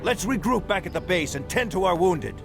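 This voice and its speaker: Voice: medium pitch, animated, brisk, natural voice. A performer playing a hearty military commander rallying troops in a fantasy game. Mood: triumphant. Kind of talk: dramatic fantasy game acting. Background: loud music.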